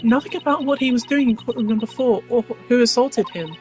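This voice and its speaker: A woman voicing a spunky, emotional young female rookie lawyer in a video game dub, animated and eager. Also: on the high side, quick pace, soft background music, pitched above the performer's own voice, natural voice. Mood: earnest.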